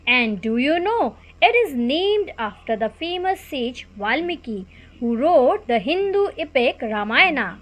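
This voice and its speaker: High, lively Indian-accented puppet show narrator , a woman. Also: a little slow, full character voice. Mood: eager, informative. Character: puppet guide named Arya presenting Bihar. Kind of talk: scripted educational narration. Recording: music behind the voice.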